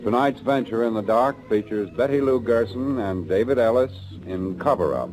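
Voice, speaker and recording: A man's voice: mid-range voice, moderate pace, darkish tone, low-quality recording. A man narrating a 1940s radio suspense show as announcer, in a solemn, ominous and theatrical manner. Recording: old 1940s radio recording. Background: dramatic music.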